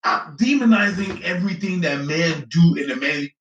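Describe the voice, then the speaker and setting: Lively high-voiced man in a roomy clip. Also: normal speed, dull audio. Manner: teasing, animated. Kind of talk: casual podcast banter. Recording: roomy played-back clip.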